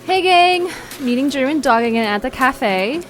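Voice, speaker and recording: A woman's voice: high voice, expressive, moderate pace, faint music behind. A woman playing a friendly, curious young adventurer who talks casually and asks questions in an audio drama. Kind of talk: acted audio drama dialogue.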